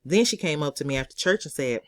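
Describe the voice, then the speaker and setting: A woman's voice: deep voice, expressive, fast, no room sound, clean studio sound. Woman narrating a sassy first-person story. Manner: conversational, sassy child's-eye storytelling. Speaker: author reading own novel.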